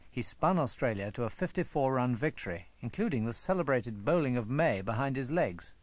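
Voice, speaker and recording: A man reading a scripted historical narration about sport in a measured documentary style. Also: medium pitch, no room sound.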